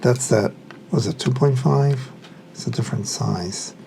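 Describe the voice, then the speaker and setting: A man's voice: medium pitch, unhurried, creaky, warm tone, slight background noise. A man giving casual hands-on unboxing commentary, muttering to himself as he works with his hands. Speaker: hobbyist reviewer.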